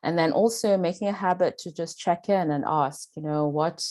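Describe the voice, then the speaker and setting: A woman's voice: fairly low pitch, moderate pace, a little mellow. A woman with a Zimbabwean British accent giving a faculty presentation, speaking earnestly with frequent "uh" and "um". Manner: earnest, filler-heavy delivery with frequent "uh" and "um".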